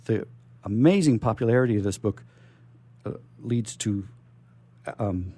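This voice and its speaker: A man's voice: on the low side, measured pace, dark tone, slightly rough. A man hosting a radio show, chatting casually and enthusiastically with his guests. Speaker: radio host. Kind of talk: casual on-air interview chat.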